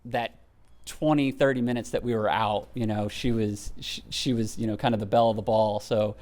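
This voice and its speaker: An American man talking in an interview in a halting way, often repeating his words. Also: lowish voice, steady tone, darkish tone. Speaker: volunteer costume builder, interviewee. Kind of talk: interview talk.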